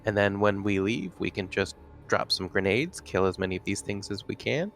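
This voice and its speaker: A man's voice: fairly low pitch, animated, normal speed, creaky, a bit bright, soft background music. A man playing a tabletop roleplaying game, thinking aloud in a casual, hesitant way. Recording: faint background noise.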